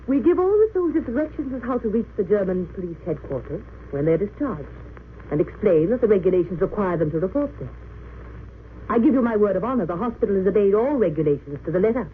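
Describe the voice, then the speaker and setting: A woman's voice: medium pitch, big pitch swings, fast talker, lightly acted. A woman playing a principled English wartime nurse in an old radio drama, dignified, formal and earnest. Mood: indignant. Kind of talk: 1930s-40s radio drama acting. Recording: tinny, hissy old radio recording.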